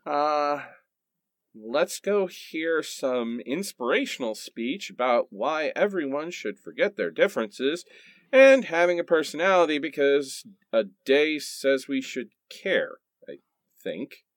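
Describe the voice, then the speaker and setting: Man as a sardonic, highish-voiced sitcom husband. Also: big pitch swings, takes it slowly, no room sound, studio quality. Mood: wry. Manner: sarcastic, wry. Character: sardonic married sitcom man, bewildered and quick to quip. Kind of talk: comic radio play acting.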